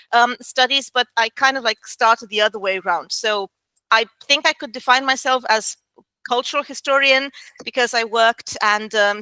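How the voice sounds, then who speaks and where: A woman in her thirties with a Romanian accent speaks as an interview guest, pausing often on fillers and laughing lightly. Her voice sits a little high and sounds bright. The sound is dry and close. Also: dry recording. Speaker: guest historian. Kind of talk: interview answers.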